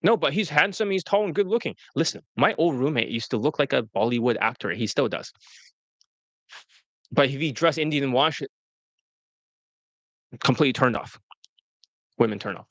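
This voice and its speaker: A man's voice: expressive, moderate pace, long pauses, fairly bright tone, no echo. A man hosting as a dating coach, talking bluntly and profanely, mocking his students with whiny put-on voices. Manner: brash, profane, mocks students in high-pitched quoted whines. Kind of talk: blunt promotional coaching talk.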